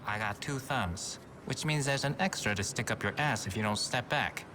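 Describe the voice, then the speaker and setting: An actor playing a menacing, foul-mouthed, antisocial tough guy in a video game, gruff and threatening. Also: mid-range voice, moderate pace, lightly acted. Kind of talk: video game character acting. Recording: game audio with clear ambient noise.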